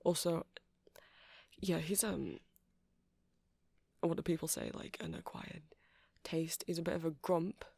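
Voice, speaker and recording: A soft-spoken man doing a rambling ASMR roleplay as a shopkeeper, hesitant and apologetic in manner. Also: a little high, very animated, unhurried, creaky, long pauses, husky, no echo, clean studio sound. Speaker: character performer playing a shopkeeper.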